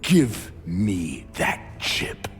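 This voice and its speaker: A man's voice: low-pitched, wildly swooping pitch, very slow, in a large hall, faint music behind, heavily acted. A man playing a stern, hot-tempered military captain in a sci-fi video game, formal and authoritarian in delivery. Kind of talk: cinematic sci-fi game acting.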